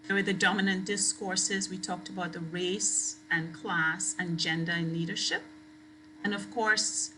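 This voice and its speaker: A woman delivers an academic talk. Her voice is bright and projected, and she speaks slowly, weighing and stressing her phrases. There is slight background noise on the recording. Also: medium pitch, rough recording. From Trinidad and Tobago. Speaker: guest lecturer. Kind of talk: academic lecture.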